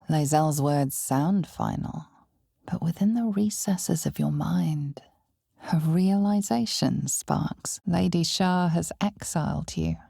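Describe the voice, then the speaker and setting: A deep female storyteller narrates a dark fantasy video game with slow, deliberate weight. Her voice is heavily creaky and husky, and her delivery is theatrical and full of foreboding. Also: no room sound, clean studio sound, full character voice. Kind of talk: scripted second-person dramatic narration.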